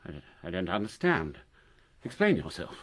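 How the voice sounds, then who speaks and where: An actor playing a deluded, obsessive murderer in a radio play, theatrical and emotional. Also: male voice, low voice, huge pitch swings, moderate pace, a little hiss or hum. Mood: cold denial. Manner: theatrical, emotional, swinging between hushed denial and outburst. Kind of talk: radio drama acting.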